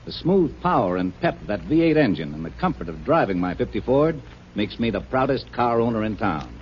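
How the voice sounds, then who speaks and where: A man's voice: lowish voice, very animated, brisk. A man reading a scripted 1950s radio testimonial in a plain, earnest, recited manner. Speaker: testimonial reader in advertisement. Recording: muffled, hissy old radio recording.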